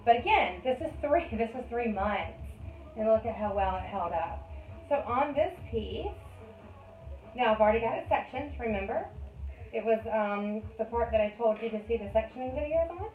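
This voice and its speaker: A woman's voice: fairly high pitch, expressive, moderate pace, faint music behind. A woman in her thirties, a hairstylist, chatting and explaining each step as she cuts hair for a tutorial. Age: 30s. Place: hair salon. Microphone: phone camera. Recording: echoey room, tinny phone-like sound, faint noise.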